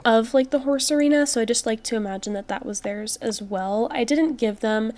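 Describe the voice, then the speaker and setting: A woman's voice: fairly high pitch, fairly quick. Stuffy-nosed young woman, chatty voice-over. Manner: relaxed, chatty, many fillers. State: stuffy from a cold. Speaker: game build commentator. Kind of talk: casual voice-over commentary.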